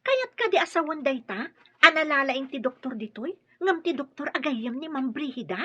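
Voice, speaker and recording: An actress playing an inquisitive household helper, questioning but respectful, in an audio drama. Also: female voice, high voice, lively intonation, moderate pace, bright, forceful tone, dull audio. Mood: concerned.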